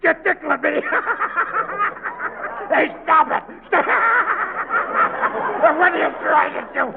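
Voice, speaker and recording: High, creaky gangster henchman. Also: takes it slowly, exaggerated voice. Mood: helpless laughing. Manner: exaggerated, slangy. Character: shrill, goofy gangster henchman. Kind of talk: 1940s radio acting. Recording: tinny, slightly noisy 1940s radio broadcast.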